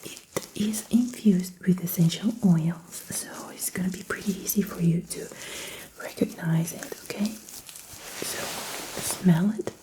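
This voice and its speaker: Casting: female ASMR doctor, hushed whisper, soft mellow tone, gentle exam instructions. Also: fairly low pitch, steady tone, measured pace, rough recording. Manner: gentle, attentive, softly instructing. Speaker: ASMR performer playing a doctor in a medical exam roleplay. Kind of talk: whispered ASMR medical roleplay.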